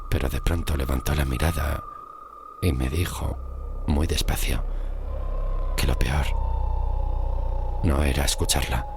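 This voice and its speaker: A man with a Spain Spanish accent narrating a scripted horror story in a slow, grave, measured way. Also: a bass voice, steady tone, background noise. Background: music.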